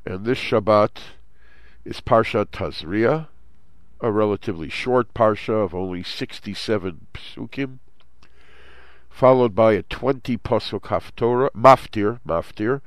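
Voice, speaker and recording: A man's voice: fairly low pitch, no room sound. Nasal, stuffed-up man hosting a Torah show. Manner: steady, explanatory reading with Hebrew terms. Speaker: host. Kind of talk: Torah study show with scripture reading. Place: Jerusalem.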